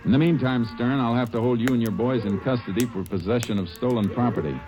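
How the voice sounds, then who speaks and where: An actor playing a steady Texas Ranger who narrates and questions suspects in a tersely measured way in a radio drama. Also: male voice, mid-range voice, faint music behind, lightly acted, poor audio. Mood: stern. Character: stern, steady male Texas Ranger lawman.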